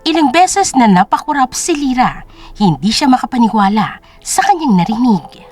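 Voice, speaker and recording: A woman's voice: a little high, very animated, normal speed, darkish tone, soft background music. A woman narrator reading a scripted story in a dramatic storytelling style.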